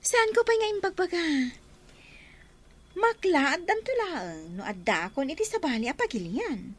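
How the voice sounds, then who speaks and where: A proud, dreamy young woman in a radio drama. Her voice is high and very animated, with an emphatic, plaintive edge and an Ilocano accent. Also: measured pace, heavily acted. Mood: dreamy, boastful. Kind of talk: Filipino radio drama acting.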